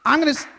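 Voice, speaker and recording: A man hosting a live stage event, announcing informally with halting, self-interrupting delivery. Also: pushed and bright, slight background noise. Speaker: host of a live table read.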